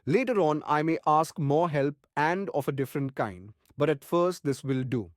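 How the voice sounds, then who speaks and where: An actor playing a kindly, courtly old doctor in an audiobook, speaking formally and earnestly. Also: male voice, a little high, lively intonation, normal speed, no echo, clean studio sound, lightly acted. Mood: earnest request. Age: older. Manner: earnest, courtly, formal, quaint foreign phrasing. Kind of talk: audiobook character acting.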